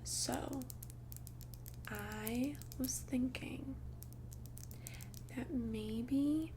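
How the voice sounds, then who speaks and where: A woman whispers an ASMR roleplay as a shy, courteous vampire. Her delivery is breathy, intimate and very slow, with gentle hesitations. A noticeable crackle of vocal fry runs through it, and there is clear noise in the recording. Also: sits high. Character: shy, polite thousand-year-old female vampire asking someone out.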